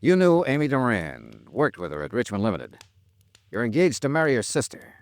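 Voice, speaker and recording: A man's voice: mid-range voice, huge pitch swings, normal speed, dry recording. A man with an American accent playing a tough, dogged insurance investigator in a 1950s-style radio detective drama, terse and confrontational. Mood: coolly pressing. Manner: terse, hard-boiled, confrontational. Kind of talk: 1950s radio detective drama acting, with first-person narration.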